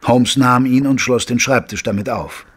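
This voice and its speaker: An actor playing an observant gentleman who narrates an audio drama in a measured, literary manner. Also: mid-range voice, slightly rough, lightly acted. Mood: measured, admiring. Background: music.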